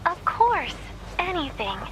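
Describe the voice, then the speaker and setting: A woman's voice: very high voice, sing-song, slow, background noise, full character voice. A woman voicing a kindly, courteous robot village leader in a video game, speaking politely and formally. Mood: kindly, welcoming. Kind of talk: English video game voice acting.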